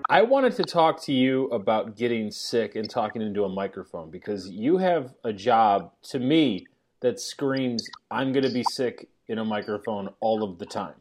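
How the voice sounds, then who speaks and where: A man's voice: on the low side, lively intonation, moderate pace, darkish tone, faint music behind. A man hosting a casual podcast, asking a guest questions in a playful, joking way. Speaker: podcast host. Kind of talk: casual chat.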